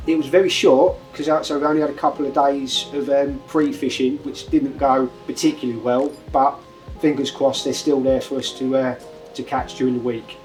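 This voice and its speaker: A man's voice: medium pitch, steady tone, moderate pace, darkish tone, rough recording. A man from Lincolnshire answering interview questions, somewhat hesitant, with fillers. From Lincolnshire, England. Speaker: angler competitor. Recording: faint music behind.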